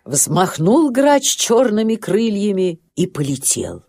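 A woman narrating a children's story in an expressive storybook style, with lilting, unhurried phrasing. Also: mid-range voice, no room sound.

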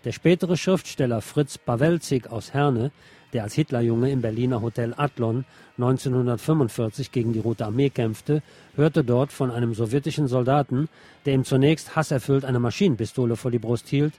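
A man's voice: medium pitch, normal speed, mellow. A man presenting a radio magazine, reading scripted news commentary aloud.

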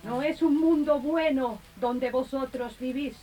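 A woman's voice: high voice, moderate pace, dark tone, natural voice, poor audio. An actress playing a forgetful old neighbourhood woman in a radio drama, slipping Spanish blessings into her speech and repeating herself. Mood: brooding, confused. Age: older. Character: old, squint-eyed, forgetful Spanish-speaking neighbourhood matriarch.

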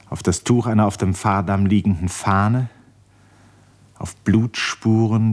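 A man narrating a 1950s German radio drama in a scripted literary style, with dramatic, measured storytelling. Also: on the low side, a little mellow.

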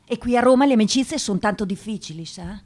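A woman's voice: a little high, expressive, quick pace, a little mellow. An actress playing a shy, pious eighteen-year-old provincial girl with a hesitant, stammering, naive way of talking in a radio drama. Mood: plaintive. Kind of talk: radio drama interview monologue.

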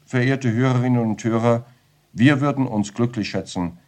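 A man's voice: medium pitch, moderate pace, darkish tone. A man as a radio announcer, delivering a formal, rhetorical address in 1950s broadcast style.

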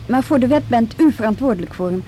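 An actress in a Dutch radio drama playing a polite, persistent juvenile police officer, tentative and earnest. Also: female voice, on the high side, expressive, fast talker, mellow, slight background noise, close to an everyday voice.

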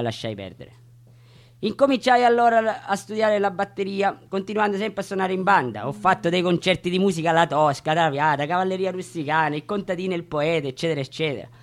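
A man reading his life story aloud in a plain, earnest way, stumbling over words. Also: high voice. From Reggio Calabria, Italy. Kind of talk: autobiographical monologue, read aloud.

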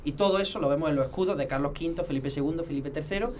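Rich: A man explains history to a host. His voice sits fairly high and he talks very fast, in a lecturing manner full of pauses and restarts. A faint hiss runs under the recording. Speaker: heraldry expert guest. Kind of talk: casual explanatory talk.

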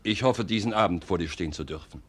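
An actor playing a loyal, coolly ruthless officer in a classical radio play, speaking with deliberate, formal stage diction. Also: male voice, low-pitched. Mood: coolly confident.

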